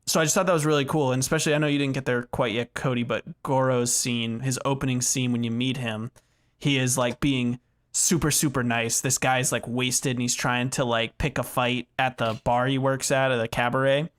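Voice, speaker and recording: A man's voice: mid-range voice, level tone, a little fast, clear, resonant tone. A man co-hosting a podcast, chatting casually about video games in a rambling, joking way. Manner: rambling, filler-heavy, joking. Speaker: podcast co-host.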